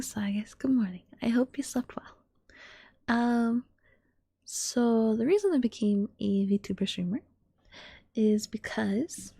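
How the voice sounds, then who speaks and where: A woman of twenty-one chatting to her stream in a soft ASMR whisper, rambling and giggly. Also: on the high side, gravelly, husky, no echo, studio quality.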